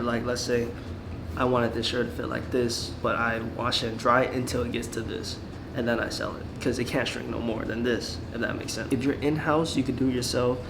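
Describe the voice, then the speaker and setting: A man's voice: medium pitch, noisy background. Sick young guy, laid-back vlog talk. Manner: laid-back, rambling with lots of "like". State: sick, losing his voice. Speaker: clothing brand owner and YouTuber. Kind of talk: casual vlog advice.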